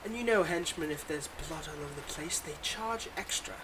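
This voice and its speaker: Supervillain, acted by a performer for audio roleplay: smug, mocking, playfully exasperated, mid-pitched, with clear background noise. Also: brisk, close to an everyday voice. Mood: smug, teasing. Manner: mocking, dramatic, addresses an unheard hero listener. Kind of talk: scripted ASMR audio roleplay.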